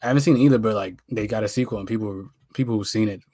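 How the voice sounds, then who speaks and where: Give the voice of an American man with a fairly low pitch, chatting as a podcast co-host. His delivery is informal, slangy and opinionated, and the sound is dry and close. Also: rapid-fire, dark tone, no room sound. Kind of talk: casual pop culture podcast chat.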